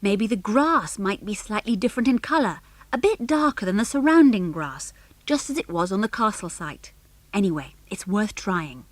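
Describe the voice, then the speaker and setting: A woman voicing a sensible boy who leads a band of child adventurers in a children's audiobook, brisk and decisive. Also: medium pitch, sing-song, no room sound. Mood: eager planning.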